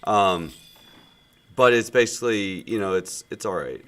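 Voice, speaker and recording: A man's voice: lowish voice, measured pace. Sick gaming streamer man, muted voice. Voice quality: loud projecting voice, subdued today. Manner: rambling, many fillers and restarts. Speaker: game streamer. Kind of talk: casual chat while gaming.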